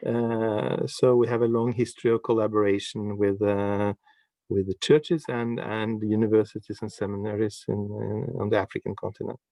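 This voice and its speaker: A male professor introduces himself in an online seminar, measured and pausing with fillers. His voice is low, flat and warm. It sounds dry and close over the call. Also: creaky, no room sound. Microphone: video call.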